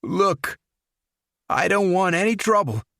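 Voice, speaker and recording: A man's voice: high voice, expressive, slow pace, gravelly, bright, forceful tone, dry recording, clean studio sound, pitched above the performer's own voice, heavily acted. A man doing a nervous evasive accountant.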